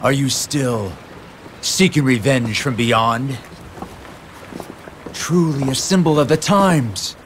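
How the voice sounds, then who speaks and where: An actor playing a battle-hungry warlord in a video game cutscene, declaiming commands. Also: male voice, medium pitch, takes it slowly, pauses often, a little hiss or hum, close to an everyday voice. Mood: scornful, menacing. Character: bold, battle-hungry male warlord set on conquest.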